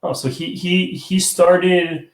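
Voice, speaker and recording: A man's voice: high-pitched, steady tone, slow. A man hosting a live online theater talk show over a video call, chatting casually and rambling with many restarts and chuckles. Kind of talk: casual chat. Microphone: video call stream. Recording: roomy echo.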